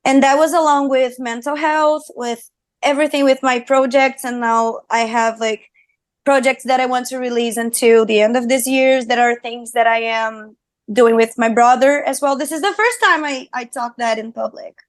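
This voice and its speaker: Young brazilian woman, portuguese accent, high voice, chatty, upbeat, lots of fillers, dry close sound. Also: steady tone, normal speed, no room sound. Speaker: guest singer and streamer. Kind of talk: casual interview chat.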